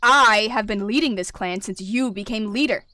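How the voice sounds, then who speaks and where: A woman's voice: mid-range voice, normal speed, pushed and bright, no room sound, lightly acted. Brooding, growling tomcat deputy acted by a woman. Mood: angry, bitter. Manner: growling, snarling, muttering delivery. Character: brooding, bitter male warrior cat deputy, large tom.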